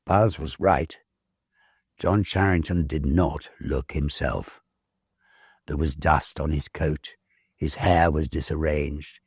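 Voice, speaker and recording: A man reading a ghost story as dramatic narration, theatrical, measured and full of suspense. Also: extremely low pitch, creaky, husky, no room sound, clean studio sound.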